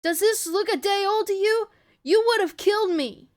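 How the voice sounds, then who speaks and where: Storybook teenage girl, acted by a woman: raised pitch, frightened, defiant, insistent pleading. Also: expressive, moderate pace. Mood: urgent, defiant. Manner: urgent, high, shouting protests.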